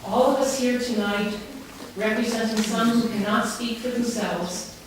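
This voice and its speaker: A very reverberant, noisy recording from some distance away carries a woman's voice. She addresses a council meeting as a campaigner, earnest and measured, with emphasis on her key words. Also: fairly high pitch, steady tone. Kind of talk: prepared public speech and answers to questions. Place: large town hall meeting room. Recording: very echoey hall, distant mic, clear background noise.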